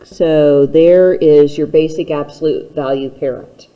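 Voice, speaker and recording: A woman's voice: low-pitched, fairly even intonation, measured pace, mellow, slight background noise, poor audio. A woman teacher giving a step-by-step instructional math lesson, speaking plainly and patiently straight to the learner.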